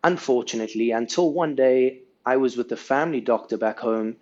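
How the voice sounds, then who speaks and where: A man's voice: medium pitch, brisk, a little mellow. South African man, dull lo-fi sound. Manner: earnest, tags sentences with "right?". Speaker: podcast guest. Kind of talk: casual life-story interview.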